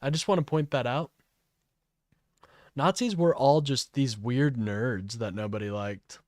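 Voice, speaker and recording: A man streaming live, reacting to a clip with blunt, profane, sarcastic commentary and a fairly high voice. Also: measured pace, darkish tone, no echo, studio quality. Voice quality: says his voice is high-pitched. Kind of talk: casual political commentary.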